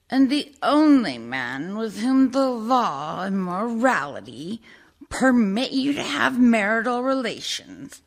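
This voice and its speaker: A woman playing a disgusted, refined, resentful wife in a deliberate, indignant radio play monologue. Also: fairly high pitch, full character voice.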